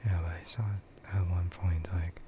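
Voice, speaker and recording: A man rambling casually and hesitantly in a soft, breathy ASMR whisper, as a streamer chatting close to the mic. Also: low voice, flat delivery, normal speed, lo-fi sound, noisy background.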